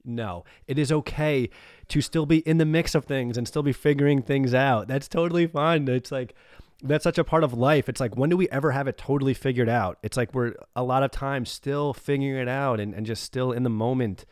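Man, podcast host, crisp smooth voice, dry close sound, upbeat and affirming. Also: medium pitch, brisk, darkish tone, no echo. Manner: upbeat, affirming, lots of fillers. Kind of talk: casual interview chat.

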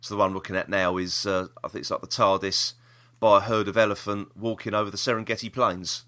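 A man's voice: fairly low pitch, flat delivery, fast, fairly bright tone. A British man hosting a podcast, chatting casually about the news in a rambling, opinionated way. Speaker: podcast host.

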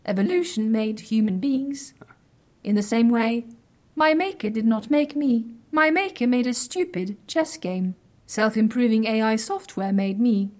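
A woman's voice: a little high, a little slow, a little mellow, lightly acted. A woman playing a haughty, literal-minded artificial intelligence in an audio drama, speaking in stilted, precise, formal phrases, blunt and condescending. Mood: disdainful.

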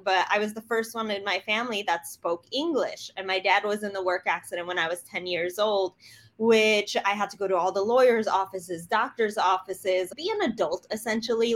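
A woman's voice: mid-range voice, moderate pace. A woman from Poland, a guest on a podcast, telling her story in an upbeat, reflective way. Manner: upbeat and reflective, with light laughs.

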